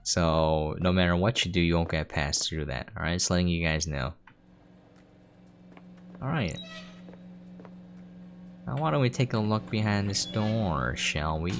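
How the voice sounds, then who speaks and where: A man's voice: deep voice, unhurried, pauses often. A man giving casual gameplay commentary, explaining and thinking aloud as he plays. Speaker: game streamer. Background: game music.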